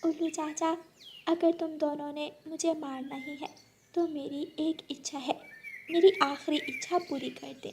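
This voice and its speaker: Woman voicing an earnest, high-pitched little bird. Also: steady tone, measured pace, background noise. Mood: coaxing. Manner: pleading, earnest. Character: brave, kind little female bird, earnest and clever. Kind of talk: Hindi children's cartoon acting.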